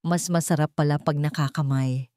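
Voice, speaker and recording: A woman voicing a reserved, wealthy young man who is softening and devoted, in an audio romance. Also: sits low, steady tone, normal speed, dry recording, clean studio sound, close to an everyday voice. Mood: amused.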